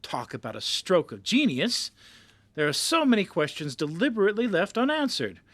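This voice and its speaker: Guy acting a gushing pushy magazine boss, high voice all over the place, hammy, audio drama. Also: wildly swooping pitch, moderate pace, fairly bright tone, no echo, full character voice. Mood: excited, pushy. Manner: theatrical, hearty, emphatic.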